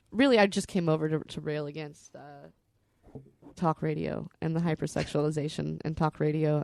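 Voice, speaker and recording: A woman's voice: low voice, vocal fry, a little mellow, no room sound. A woman podcast storyteller telling personal stories in a candid, rambling, salty-tongued way.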